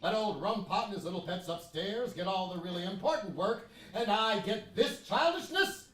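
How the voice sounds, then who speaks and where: An actor playing an irascible, cynical 19th-century American newspaper editorialist in a theatrical, sardonic stage radio play. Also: male voice, high-pitched, lively intonation, normal speed, a bit bright. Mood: irritated, blustering. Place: playhouse stage. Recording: echoey hall, distant mics, faint noise.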